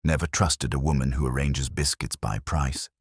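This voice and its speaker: A man's voice: very deep voice, moderate pace, breathy edge, no room sound, studio quality, full character voice. A man voicing a sly, gossiping tobacconist who murmurs in a confiding way.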